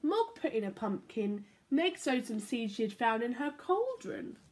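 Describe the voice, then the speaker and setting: Lively young woman reading picture books. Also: a little high, a little slow, slight background noise. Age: young adult. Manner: animated, playful read-aloud with sound effects. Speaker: church children's storyteller. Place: living room at home. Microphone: laptop or phone camera at arm's length.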